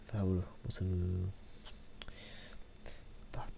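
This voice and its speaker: A low, gravelly male voice, speaking softly in a casual ASMR style. He rambles in slangy, halting phrases and often repeats words, with clear hiss and room noise behind him. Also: flat delivery. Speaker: ASMR video creator. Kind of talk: casual soft-spoken ASMR commentary on anime.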